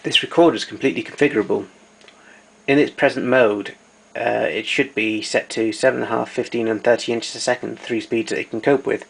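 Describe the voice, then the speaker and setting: A man's voice: mid-range voice, fairly even intonation, a little fast, gravelly, breathy edge, low-quality recording. A man giving an informal, hands-on equipment demonstration, explaining technical details as he goes.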